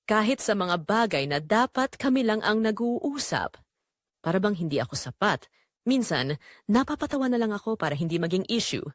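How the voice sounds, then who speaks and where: A woman playing a betrayed young wife in an audio drama, narrating her heartbreak in earnest, measured, confessional phrases. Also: mid-range voice, very animated, no echo, clean studio sound, natural voice. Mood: wounded, reflective. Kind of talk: scripted first-person dramatic narration.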